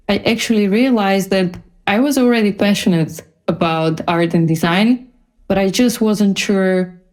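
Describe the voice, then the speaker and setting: Female, mid pitch, podcast guest, casual interview storytelling. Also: a little mellow. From Bulgaria.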